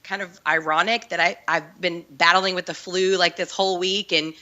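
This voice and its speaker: A woman in her thirties with a Texan accent, hoarse from the flu, talking casually with many fillers as a guest on a video call. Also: lowish voice, fairly even intonation, normal speed, sharp tone, muffled recording. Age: 30s. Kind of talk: casual remote interview.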